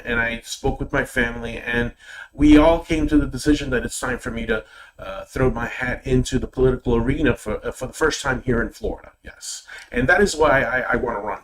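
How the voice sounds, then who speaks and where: A man's voice: medium pitch, low-quality recording. Hesitant middle-aged Puerto Rican man being interviewed. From Puerto Rico. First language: Spanish. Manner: hesitant, repeats words. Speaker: political candidate being interviewed. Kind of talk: interview answers.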